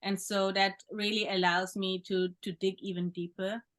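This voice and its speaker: Woman host, mid-pitched and reflective, soft-spoken. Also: little pitch movement, normal speed. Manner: reflective, gentle, with occasional soft laughs. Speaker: podcast host, sexological bodyworker. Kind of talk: conversational interview.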